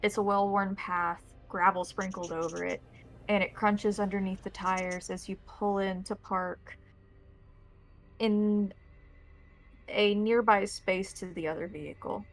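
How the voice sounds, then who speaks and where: A woman's voice: medium pitch, fairly even intonation, a little slow, soft background music. A woman narrating a tabletop roleplaying game as the game master, describing scenes to players.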